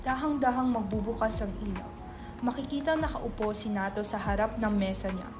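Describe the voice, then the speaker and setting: A woman's voice: mid-range voice, moderate pace. A woman reading stage directions as the scripted narrator of a play. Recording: clear background music under voice.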